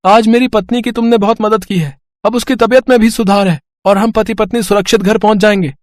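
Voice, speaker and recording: Wealthy traveller husband, cartoon moral tale, played by a man; high-set voice, earnest, pleading, dry sound. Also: fairly even intonation, a little fast, dry recording, natural voice. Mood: grateful, reassuring. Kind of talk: dramatised moral story acting.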